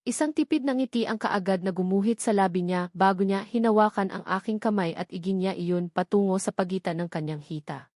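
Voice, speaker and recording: A woman with a Filipino accent narrates a scripted story in the first person, as for an audiobook. Her voice sits at a middle pitch, and the recording is dry, with no room sound around it. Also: a little fast, darkish tone, no echo.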